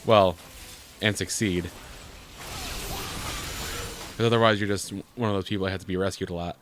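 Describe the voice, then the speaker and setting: A man's voice: low-pitched, fairly even intonation, normal speed, fairly bright tone, soft background music. A man with a bad cold giving casual, joking game commentary with dry sarcasm as a co-host. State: has a bad cold, coughing. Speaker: gaming video co-host. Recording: noisy room, game sounds behind.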